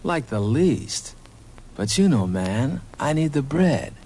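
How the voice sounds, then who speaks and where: A cool young beatnik parking attendant in a comedy record parody. His slangy voice is fry-laden and lively in pitch, with clear noise on the old recording. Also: slow pace. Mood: cool, breezy. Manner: cool slangy jive delivery.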